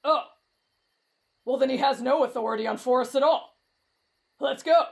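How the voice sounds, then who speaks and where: A woman's voice: high voice, moderate pace. A woman voicing a brash, reckless teenage boy in an anime-style roleplay drama, cocky, blunt and dismissive. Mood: blunt, scornful, then eager, bold. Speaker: voice actor in roleplay audio.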